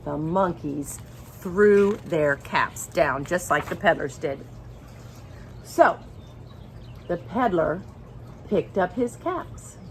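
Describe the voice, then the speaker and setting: A woman reading a picture book aloud to young children in an expressive way, engaging her listeners as she goes. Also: fairly low pitch, slight background noise. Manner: expressive, pauses to ask listeners questions. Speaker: children's storybook reader.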